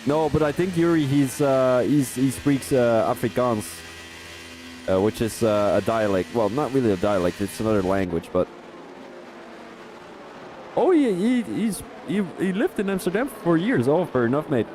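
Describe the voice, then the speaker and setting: A man from Belgium, doing live race commentary for a sim racing league, rambling in chatty asides and rising to excited calls on overtakes. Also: medium pitch, normal speed, slight background noise.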